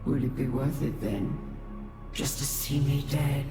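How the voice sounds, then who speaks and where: An actor playing a grim, scornful foe in a video game, with a measured, solemn, accusing manner. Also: male voice, mid-range voice, close to an everyday voice. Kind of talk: dramatic video game monologue. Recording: echoey space, music behind.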